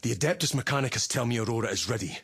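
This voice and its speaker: An actor playing a stern, battle-hardened Space Marine commander in a video game, giving terse, authoritative orders in a gritty voice. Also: male voice, medium pitch, lively intonation, racing, fairly bright tone, slightly rough. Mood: grave, commanding. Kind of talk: dramatic video game voice acting, mission briefing.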